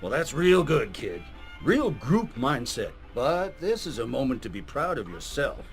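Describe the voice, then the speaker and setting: Gung-ho American drill-sergeant coach, played by a male actor, bombastic and proud, wide-swinging pitch, game dialogue over music. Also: sing-song, unhurried. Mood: approving, gruff, familiar. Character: gruff, gung-ho vault military coach, patriotic man. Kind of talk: scripted video game character acting. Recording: music behind the voice.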